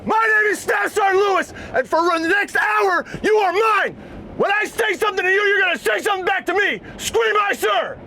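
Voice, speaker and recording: A male military drill instructor barking shouted commands in a strained, gravelly voice. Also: very high voice, normal speed, a little hiss or hum.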